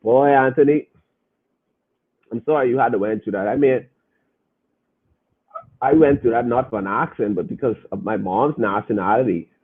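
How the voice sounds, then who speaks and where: A man's voice: medium pitch, moderate pace, pauses often, husky. A man hosting a podcast, talking emphatically and preaching directly to his listeners as he reacts to their comments. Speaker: podcast host. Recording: phone-like, narrow band.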